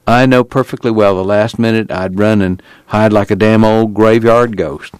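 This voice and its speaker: A man's voice: on the low side, steady tone, darkish tone, smooth, dry recording, natural voice. A man playing a lonely, wistful country drifter. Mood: wry, reflective. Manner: slow folksy country dialect, wistful. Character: lonely, resigned rural drifter man, quietly stubborn.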